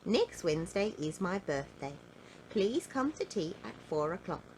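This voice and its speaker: Woman putting on a hearty, loud storybook gentleman. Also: medium pitch, very animated, measured pace, a little hiss or hum, poor audio. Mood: friendly. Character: jolly, welcoming, greedy storybook gentleman.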